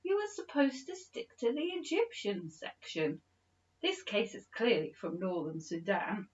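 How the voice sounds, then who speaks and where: A woman voicing an absent-minded, excitable elderly male professor, gentle and playful, in a children's storybook reading. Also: medium pitch, measured pace, dull audio. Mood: sulky.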